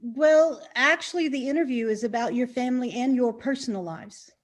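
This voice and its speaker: Actress doing awkward polite interviewer lady, high pitched, apologetic and unsure, mystery comedy. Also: moderate pace, close to an everyday voice. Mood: hesitant, coaxing. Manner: hesitant, apologetic. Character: polite, awkward, nervous female interviewer investigating a disappearance. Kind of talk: comedic mystery acting in an online play.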